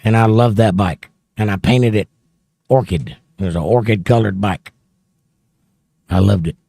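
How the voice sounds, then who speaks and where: A man's voice: on the low side, moderate pace, creaky, mellow, husky, no room sound. A man hosting a casual livestream, reading viewer comments aloud and chatting on about them into a close microphone. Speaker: host reading live chat. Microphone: close studio mic, held near the mouth.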